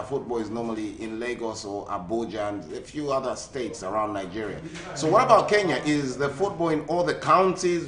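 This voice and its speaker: A man's voice: medium pitch, fairly bright tone, reverberant. A man from Zambia hosting a sports radio show, asking friendly questions with many hesitations and fillers. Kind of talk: radio phone interview. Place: living in the UK.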